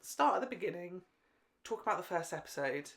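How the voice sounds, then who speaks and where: A woman's voice: fairly low pitch, lively intonation, fairly quick, creaky, fairly bright tone. A woman with a British accent co-hosting a casual podcast, chatting in a playful, jokey and opinionated way. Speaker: podcast co-host.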